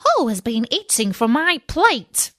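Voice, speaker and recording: A woman's voice: sits high, big pitch swings, a little slow, fairly bright tone, no room sound, voice pushed up, heavily acted. Fairy tale dwarfs, voiced by a female narrator: small, puzzled, indignant, emphatic questioning, dry sound. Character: puzzled little male dwarfs, indignant householders.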